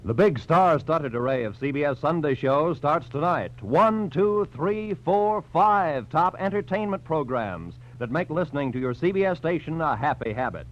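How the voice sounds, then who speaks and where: A man's voice: on the high side, animated, moderate pace, hardly any pauses. An American man announcing on 1940s radio, reading scripted credits and promotions in a polished, emphatic, formal style. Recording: dull 1940s radio recording.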